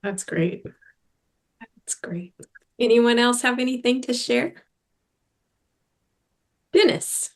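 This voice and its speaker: A woman's voice: on the high side, takes it slowly, long pauses. A woman moderating a video call training session, thanking speakers and inviting questions in an encouraging way.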